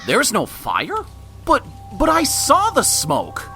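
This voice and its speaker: Man voicing an animated, bright heroic fire engine. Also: extremely high pitch, slow, faint music behind, heavily acted. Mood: puzzled. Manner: earnest, concerned. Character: eager, caring male fire engine, loyal rescuer. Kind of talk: amateur audio drama acting.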